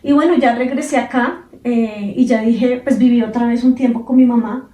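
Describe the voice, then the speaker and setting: Mellow-voiced woman in her forties. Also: fairly high pitch, moderate pace, echoey room, recorded from a distance, low-quality recording. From Colombia. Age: 40s. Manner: relaxed, many fillers like "pues". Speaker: podcast co-host. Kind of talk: casual conversation.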